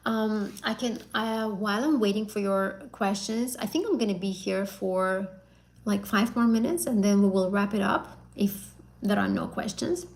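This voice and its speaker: Chatty Azerbaijani woman, gravelly voice. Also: medium pitch, normal speed. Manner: chatty, repeats and rephrases, many fillers. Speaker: English exam tutor. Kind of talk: live stream Q&A.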